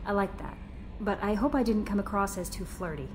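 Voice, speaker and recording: An actress playing a friendly young female ship aide in a video game, soft-spoken and polite. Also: medium pitch, animated, a little fast, background noise, close to an everyday voice.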